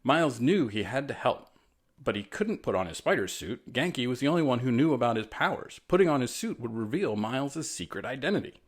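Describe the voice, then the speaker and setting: A man's voice: mid-range voice, expressive, fairly quick, slightly rough. American man hosting an informal storytime. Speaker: writer reading his own children's story aloud. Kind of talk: informal storytime read-aloud with chatty asides.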